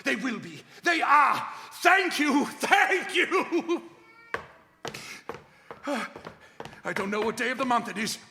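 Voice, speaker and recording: A man's voice: very high voice, sing-song, slow, vocal fry, long pauses, background noise, exaggerated voice. A man playing a reformed old miser in live radio theatre, stammering, exclaiming and full of joy and gratitude. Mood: giddy, overjoyed. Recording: reverberant hall, live stage performance.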